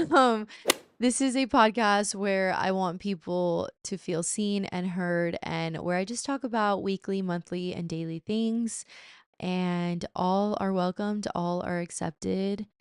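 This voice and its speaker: A woman's voice: mid-range voice, steady tone, slow, clean tone, no room sound, clean studio sound. A woman in her twenties hosting her podcast, chatting casually and playfully with slang asides. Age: 20s. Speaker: podcast host. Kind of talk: casual chat.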